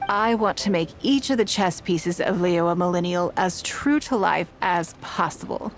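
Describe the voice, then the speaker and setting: A woman voicing a composed, wealthy woman leader in a game, measured and authoritative. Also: lowish voice. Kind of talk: game voice acting. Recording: game dialogue over faint music.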